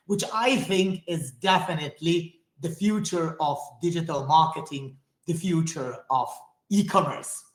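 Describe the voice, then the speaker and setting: A man's voice: fairly high pitch, fairly bright tone, roomy sound. A man from Hungary, a native Hungarian speaker with a Hungarian accent, giving an enthusiastic, friendly online webinar presentation and speaking straight to his audience.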